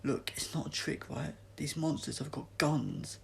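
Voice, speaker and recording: A man voices a streetwise young male athlete in a storybook. He is cynical and wary, speaking colloquially with a creaky voice, with faint noise behind. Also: fairly high pitch, steady tone, measured pace, pitched above the performer's own voice. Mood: insistent.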